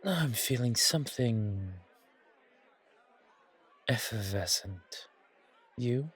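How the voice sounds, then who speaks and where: Man voicing a slow, husky, courtly vampire gentleman. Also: medium pitch, animated, some chatter behind, full character voice. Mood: charming, flirtatious. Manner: genteel, poetic, unhurried, flirtatious. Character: suave, courtly, old-world male vampire charming a stranger. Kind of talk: roleplay audio, ASMR horror.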